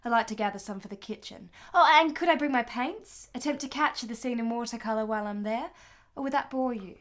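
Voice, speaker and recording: A woman's voice: on the high side, fast, fairly bright tone, close to an everyday voice. A woman playing a flirtatious, affectionate lady in a period radio play, with a teasing, genteel, theatrical manner.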